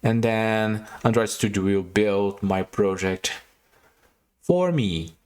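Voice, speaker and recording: A man from Brazil speaks English with a Brazilian Portuguese accent, his voice sitting a little low. He talks in a relaxed, unpolished way, pausing on fillers and restarting sentences as he explains software setup step by step. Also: clear, resonant tone. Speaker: tutorial host. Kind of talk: casual screen-recorded coding tutorial.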